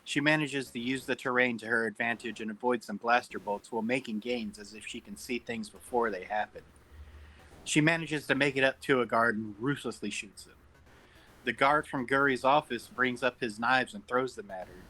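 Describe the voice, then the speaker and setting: A man's voice: mid-range voice, bright, forceful tone, poor audio. A man serving as narrator, reading screenplay stage directions at a table read.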